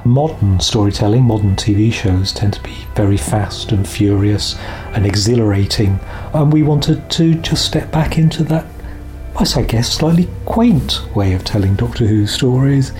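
A man's voice: on the low side, moderate pace, a little mellow, faint music behind, low-quality recording. A man speaking as an audio drama producer in a behind-the-scenes interview, explaining things reflectively.

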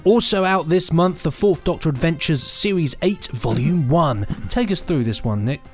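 A man's voice: on the high side, animated, normal speed, over loud music. A man presenting news casually as a podcast host, with an encouraging manner.

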